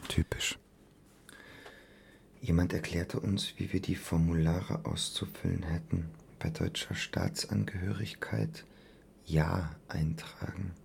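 A brooding, very gravelly young son. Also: male voice, low-pitched, steady tone, slow, whispered, full character voice. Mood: muted, wry. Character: brooding young son murmuring over his mother's letters. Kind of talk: German radio play, interior monologue.

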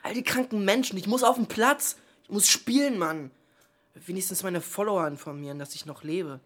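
An actress playing an impatient, self-important young sports player, complaining restlessly in a radio play. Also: female voice, medium pitch, moderate pace, natural voice.

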